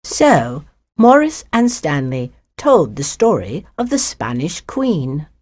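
Storybook narrator, female, slightly low voice, unhurried, scripted children's tale. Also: big pitch swings, darkish tone. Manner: storybook expressiveness.

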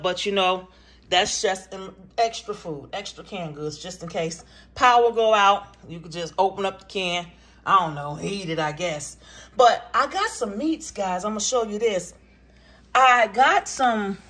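A woman's voice: medium pitch, fairly bright tone. Chatty woman showing off groceries. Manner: chatty, addresses viewers as "you guys" and "y'all". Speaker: vlogger. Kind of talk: casual grocery haul chat. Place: home kitchen, indoors. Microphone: phone camera held in hand.

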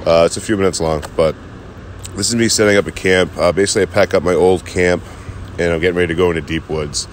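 A man in his thirties giving a relaxed, rambling voice-over about setting up his camp, with many fillers. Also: extremely low pitch, slight background noise. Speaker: camping vlogger. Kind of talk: casual voice-over narration.

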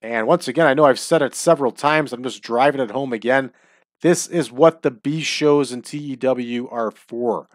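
A man's voice: medium pitch, moderate pace, no echo. A man talking through a wrestling booking game with chatty, opinionated asides. His voice sits in a middle range, and his nose sounds stuffy and runny from allergies. The sound is dry and close. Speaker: let's-play host. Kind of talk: casual gameplay commentary. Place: at home.

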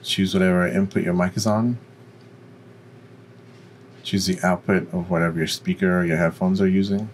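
A man with a deep voice giving an informal, explanatory software tutorial. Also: little pitch movement, moderate pace, pauses often, slight background noise, low-quality recording. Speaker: tutorial presenter.

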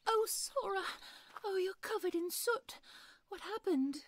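A woman playing a sweet, flirtatious healer, gentle and coaxing, with a breathy voice in an audio roleplay. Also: extremely high pitch, animated, a little slow, no room sound. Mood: doting, coaxing.

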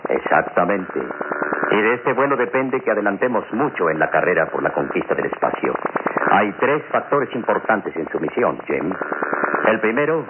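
Stern worried army general in old radio drama, really low voice, muffled hummy recording. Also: male voice, sing-song, background noise. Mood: solemn. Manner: formal, deliberate, authoritative. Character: grave, worried, authoritative military general. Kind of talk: old radio serial acting.

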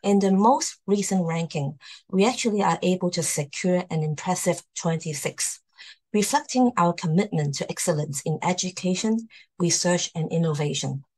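A woman's voice: lowish voice, moderate pace, a little mellow, rough recording. A woman hosting and presenting in a formal slide talk over a video call. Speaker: host, university admissions presenter.

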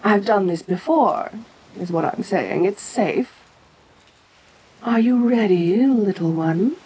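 A woman playing an ancient, domineering giantess kaiju queen in an audio roleplay, imperious and teasing yet protective, speaking with deliberate pauses. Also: mid-range voice, darkish tone, breathy edge, exaggerated voice, rough recording. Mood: reassuring, amused. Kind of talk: second-person audio roleplay acting.

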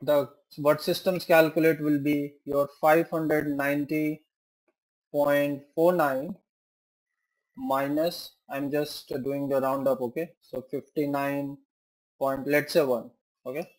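A man teaching a software tutorial, working through examples step by step with rhetorical questions, fillers and self-corrections. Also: on the high side, level tone, takes it slowly, poor audio. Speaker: software trainer. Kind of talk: screen-share tutorial walkthrough.

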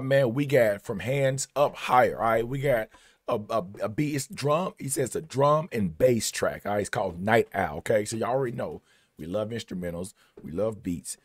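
A man in his thirties hosting a music reaction video, with a husky, strained voice from losing it over several days, talking in a laid-back, slangy way with drawn-out phrases. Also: fairly low pitch, no room sound, studio quality. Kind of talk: casual reaction commentary. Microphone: headphones on, desk camera. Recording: music playing faintly behind.

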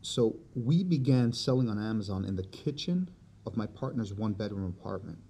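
A young man recounts his past in a loose, conversational podcast monologue. His voice sits a little low and sounds dark and mellow. Faint ambience hums under the recording. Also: lively intonation, brisk, slight background noise. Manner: conversational, tag questions like "right?". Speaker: podcast host. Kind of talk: personal storytelling monologue.

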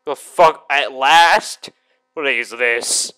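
Bright-voiced young man doing gaming commentary. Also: mid-range voice, drawn-out words, clear, resonant tone. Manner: crude, profane, exclamatory. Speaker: gaming video host. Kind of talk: casual let's play commentary.

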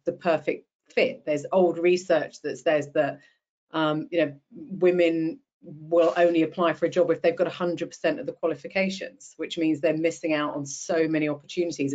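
A woman's voice: deep voice, a little mellow. A woman hosting and moderating an online panel, speaking with small hesitations and repeated words. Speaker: host. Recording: dull lo-fi video call audio.

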